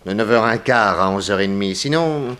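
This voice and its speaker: An actor playing a firm, sceptical police detective who presses suspects sardonically in a 1960s French radio serial. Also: male voice, lowish voice, expressive, moderate pace, a bit bright. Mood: sardonic, threatening. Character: insistent, coolly sceptical male police detective interrogating suspects.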